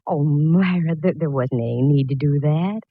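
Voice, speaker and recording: Low-voiced, wisecracking woman private eye. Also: moderate pace, breathy edge, dry recording. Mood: friendly, chatty. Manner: wisecracking, breezy. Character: wry, quick-witted female private eye narrating her case. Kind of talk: 1940s radio detective acting and narration. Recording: noisy, dull vintage radio recording.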